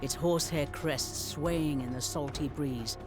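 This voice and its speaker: An actor playing a grave storyteller, narrating ancient history in a measured, literary style for a video game. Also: male voice, fairly high pitch, over music, close to an everyday voice. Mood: dramatic gravity. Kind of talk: scripted dramatic narration.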